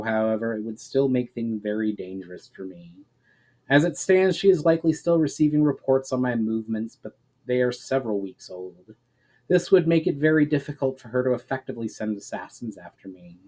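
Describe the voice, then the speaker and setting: A man acts out a male elf spell-weaver in a fantasy storybook. He is calm and courteous, with formal, measured phrasing. He explains things patiently and admonishes gently. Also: medium pitch, lightly acted, poor audio. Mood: grave.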